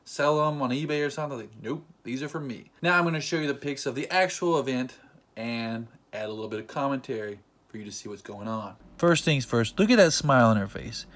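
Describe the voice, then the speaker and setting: A young man casually retelling a fan meet-up in a playful, joking vlog, acting out quotes as he goes. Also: medium pitch. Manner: playful, jokey, animated retelling with acted quotes. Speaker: vlogger.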